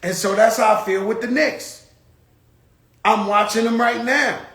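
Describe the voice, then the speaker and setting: A man's voice: sits high, fairly even intonation, unhurried, fairly bright tone, reverberant. A man hosting his own show, talking in a blunt, profane, rambling casual monologue. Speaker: show host.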